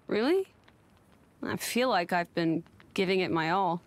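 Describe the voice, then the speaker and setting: A woman's voice: fairly low pitch, lively intonation, unhurried. An actress playing a frank, proud, earnest young woman companion in a video game, direct and candid. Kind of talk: video game cutscene acting.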